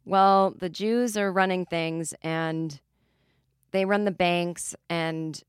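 A conspiracy-minded male cab driver, speaking slowly and ominously, as a woman imitates him. The recording is close and dry. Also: lowish voice, steady tone, smooth, dry recording.

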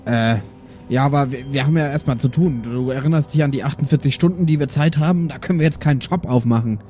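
A man's voice: mid-range voice, fast, mellow, faint music behind, natural voice. A man playing a patient, practical father in an improvised tabletop role-play. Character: patient, practical papa of a bounty-hunter trio.

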